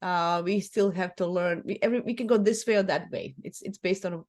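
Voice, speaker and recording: A woman's voice: lowish voice, fairly even intonation, fairly quick, darkish tone. A middle-aged woman from Croatia with a Croatian accent, a native Croatian speaker, talking casually as an astrologer being interviewed, often restarting and correcting herself. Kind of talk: casual chat.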